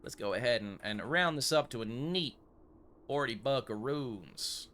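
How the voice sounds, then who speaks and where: A man's voice: medium pitch, expressive, a little slow, background noise, close to an everyday voice. A man playing a fussy frontier gun shop owner in gameplay commentary, with a put-on American Old West accent and wordy, mock-formal courtesy. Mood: scheming, businesslike. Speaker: gaming video creator.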